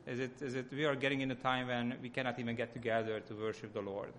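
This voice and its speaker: Halting Hungarian-accented man preaching, noisy recording. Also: mid-range voice, little pitch movement, a bit bright. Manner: halting, searching for words. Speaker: lay church speaker. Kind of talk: informal sermon.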